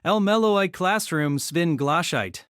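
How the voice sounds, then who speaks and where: A woman voicing a gruff, irritable teenage boy with a wolfish temper in an audiobook, surly and threatening. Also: lowish voice, lively intonation, slow pace, dry recording, studio quality, voice pushed down. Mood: menacing.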